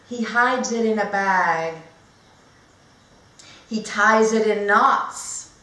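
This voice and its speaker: Slow-talking woman teacher in an echoey room. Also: mid-range voice, a bit bright. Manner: deliberate, simple phrasing with pauses and questions to listeners. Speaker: teacher demonstrating read-aloud techniques. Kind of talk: storybook read-aloud lesson for young learners. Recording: echoey room with clear background noise.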